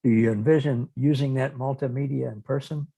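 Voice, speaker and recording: A man interviewing in a reflective, conversational style, speaking haltingly and thoughtfully and often repeating words. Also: medium pitch, fairly even intonation, gravelly, dark tone, slightly rough.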